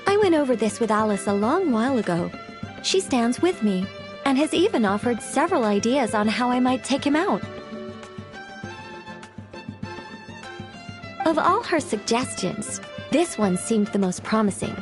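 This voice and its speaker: A woman's voice: fairly high pitch, big pitch swings, normal speed, over music. A woman playing an eccentric, theatrical novelist in a video game, speaking formally and with great self-assurance. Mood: scheming, self-assured.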